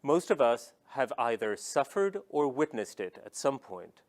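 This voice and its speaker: Male narrator, reflective and steady, voice moves up and down a lot, scripted doc read. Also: mid-range voice, a little slow.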